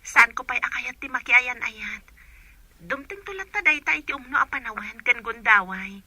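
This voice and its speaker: A woman's voice: a little high, animated, moderate pace, pushed and bright, husky, exaggerated voice. A woman playing an inquisitive young friend who gives advice in a radio drama. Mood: advising.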